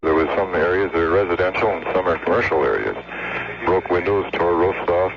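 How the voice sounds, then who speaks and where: An American police captain gives a plain, matter-of-fact account in a deep male voice. The radio soundbite is muffled, with clear noise behind him. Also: a little fast.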